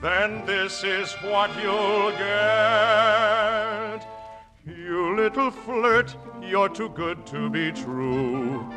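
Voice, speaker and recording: A man's voice: very slow, music in the background. A male host playing a romantic young crown prince who talks and sings suavely in a 1940s radio musical. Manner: suave, crooning baritone singing. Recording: old radio broadcast with orchestra.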